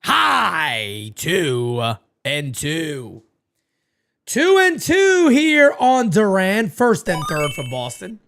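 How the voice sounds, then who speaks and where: A man hosting a live fan watch party, calling the game play-by-play and chatting with viewers. He is losing his voice, gets excitable, shouts on big plays and swears freely. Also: high-pitched, drawn-out words, vocal fry.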